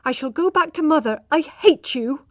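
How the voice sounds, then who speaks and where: A woman reading a storybook aloud, voicing a proud young country girl who sounds high and pleading. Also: sing-song, slow pace, husky, no echo, studio quality, full character voice. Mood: defiant. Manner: high, pleading, then firm.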